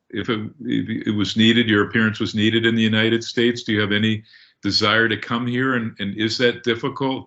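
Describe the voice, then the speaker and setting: A man's voice: lowish voice, fairly bright tone, lo-fi sound. A man co-hosting a podcast, asking long, rambling questions. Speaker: podcast co-host. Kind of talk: interview questions.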